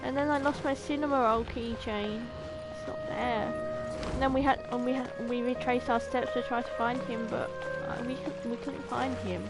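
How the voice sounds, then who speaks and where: A woman's voice: a little high, fairly even intonation, moderate pace. A woman chatting casually on a game livestream in a playful, rambling way. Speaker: game streamer. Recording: loud music behind the voice.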